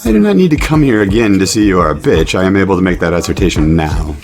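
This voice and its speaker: A man plays a low-voiced puppet rapper, defiant and deadpan, with a lively swing in his pitch. He speaks in dry, overly precise phrases over clear background music. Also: fast. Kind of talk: scripted puppet film dialogue. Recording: music behind the voice.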